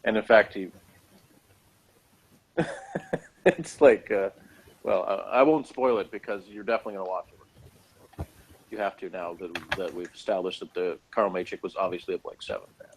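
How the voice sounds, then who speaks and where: A man's voice: sits low, moderate pace, creaky, long pauses, breathy edge, low-quality recording. A man chatting informally as co-host of a review, tossing in loose asides. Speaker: co-host of a sci-fi TV episode review. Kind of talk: casual chat and commentary.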